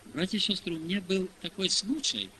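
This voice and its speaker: A man's voice: high-pitched, brisk, bright, forceful tone. A man preaching in a conversational storytelling style, asking rhetorical questions and acting out bits of dialogue, on a hissy recording. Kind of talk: sermon told through anecdotes and dialogue. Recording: hissy, noisy amateur audio recording.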